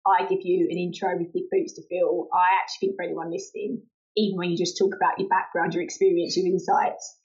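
A woman's voice: on the low side, little pitch movement, quick pace, a little mellow, highs cut off. A woman co-hosting a podcast, friendly and chatty, speaking casually with lots of "like" and "you know.". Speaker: podcast co-host.

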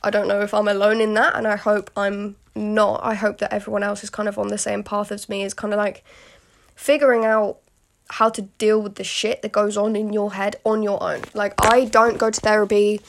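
British woman, late teens, podcast host; gravelly fry, rambling candid delivery with lots of fillers. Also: mid-range voice, level tone, moderate pace. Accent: British English. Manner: rambling and candid, with many fillers and frequent asides to the listener. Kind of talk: casual solo podcast monologue.

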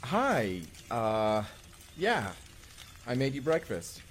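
A man's voice: fairly low pitch, a little hiss or hum, natural voice. A young man acting a goofy, affectionate husband from the future in an intimate audio drama, speaking casually and hesitantly straight to the listener. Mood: warm, eager. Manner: casual, hesitant, sighing, talks directly to listener. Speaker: actor in audio roleplay. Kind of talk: first-person immersive audio roleplay, intimate conversational acting.

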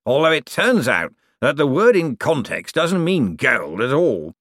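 An ancient ghost of a professor, acted out by a male audiobook reader, savours his words in drawn-out phrases. He is smug and wistful, with a flirtatious streak, and his voice swings about in a dry, close recording. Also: mid-range voice, very animated, a bit bright, dry recording, voice pushed up. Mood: smug, cheerful. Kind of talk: audiobook character voice.

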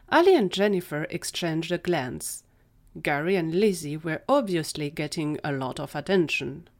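Woman narrator reading an audio story, voice a bit on the low side. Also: a little mellow.

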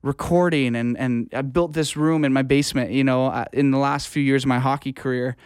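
A young man talks as an interview guest, telling stories in a casual, unpolished way. His voice is clean and smooth at a middle pitch. The sound is dry, with hardly any room on it. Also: fairly even intonation, a little fast, a little mellow, no room sound. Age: young adult. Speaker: interview guest, audio engineer. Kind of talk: casual conversational storytelling.

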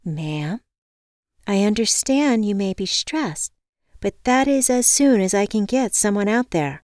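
Woman doing a chirpy, patient dispatcher, pitched up. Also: unhurried, dry recording, clean studio sound, natural voice. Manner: polite, calm, reciting like a script. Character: pleasant, patient, chirpy female locksmith dispatcher. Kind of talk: acted audiobook dialogue.

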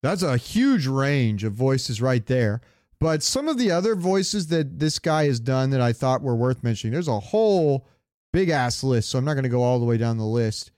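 A man's voice: mid-range voice, very animated, normal speed, a little mellow, no echo, studio quality. A man co-hosting a podcast in casual comedic chat, rambling through jokey, sweary stories. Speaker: podcast co-host.

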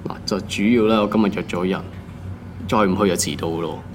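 A man's voice: low voice, steady tone, fairly quick, a little hiss or hum, natural voice. An actor with a Hong Kong accent playing an ordinary office worker in his twenties, wry and colloquial, in a naturalistic audio drama. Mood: put-upon, pleading. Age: 20s. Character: ordinary young male office worker, teasing and put-upon.

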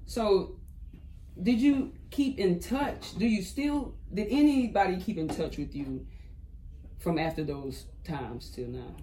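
A man hosts a relaxed interview and nudges his guest along with loose, filler-heavy questions. His voice sits high for a man, over a faint background hiss. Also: slow, poor audio. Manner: encouraging, easygoing, heavy with fillers. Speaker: interview host. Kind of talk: casual interview talk.

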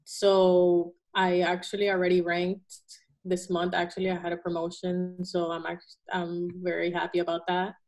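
A young woman from Venezuela speaks as a guest in a relaxed interview. Her voice sits low and stays fairly level, without much rise and fall. She talks in a plain, earnest way, with fillers and small self-corrections. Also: normal speed. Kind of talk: casual interview.

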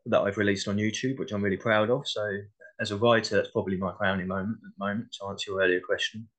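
Male guest, lowish pitch, casual interview chat, modest, reflective. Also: fairly even intonation, fast talker, fairly bright tone. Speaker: interview guest, playwright and actor.

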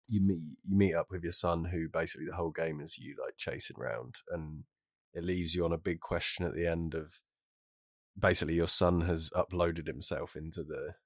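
Male co-host, British, very low pitch, mild head cold, informal gaming talk, dry recording. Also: fairly even intonation, brisk, dry recording. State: bunged-up nose from a cold. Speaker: podcast co-host. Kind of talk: casual chat about video games.

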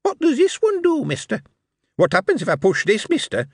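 Tiny squeaky inquisitive goblin. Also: male voice, wildly swooping pitch, normal speed, slightly rough, dry recording, clean studio sound, full character voice. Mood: eager, curious. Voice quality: tiny staccato high voice. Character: inquisitive little goblins, squeaky, staccato, eager.

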